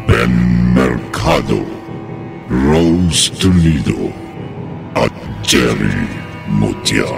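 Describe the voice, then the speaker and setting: A man announcing a horror radio drama in an ominous, drawn-out theatrical delivery. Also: a bass voice, vocal fry. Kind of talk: scripted dramatic radio narration. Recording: loud music behind the voice. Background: loud music.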